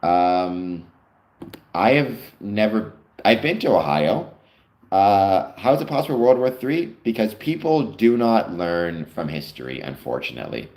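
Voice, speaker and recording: A low-voiced man from Canada hosts a live online show and chats casually, answering comments as they come in. He rambles a little and fills his pauses with ums and uhs. The sound carries a noticeable room echo. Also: animated, a little mellow. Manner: rambling, many fillers, answers chat comments. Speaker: livestream host. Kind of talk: casual livestream chat reading comments. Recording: echoey room.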